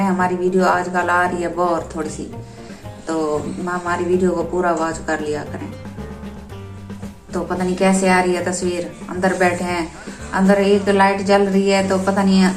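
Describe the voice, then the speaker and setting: A hoarse woman chats to her viewers at home. Her voice is rough from a sore throat, and she talks in an easygoing, friendly way, heaping blessings on her subscribers. She comes from Pakistan, and soft music plays faintly behind her. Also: medium pitch, reverberant. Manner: chatty, addresses viewers warmly with blessings. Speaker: vlogger and mother. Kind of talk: casual home vlog chat. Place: inside a home.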